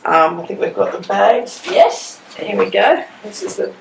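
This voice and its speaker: Croaky Australian woman with a cold. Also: medium pitch, very animated, unhurried, a little hiss or hum. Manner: chatty, rambling, warm asides. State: sleep-deprived. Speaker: co-host. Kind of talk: casual chat. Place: dark hotel room. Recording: echoey hotel room.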